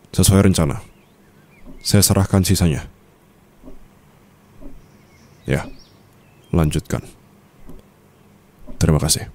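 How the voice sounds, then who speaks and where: A man's voice: vocal fry, darkish tone, a little hiss or hum, heavily acted. A man playing a calculating, coolly dominant mafia boss husband in an intimate ASMR roleplay, speaking in a teasing, smug manner. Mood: smug, assured. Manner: slow, teasing, smug, with low chuckles and hums.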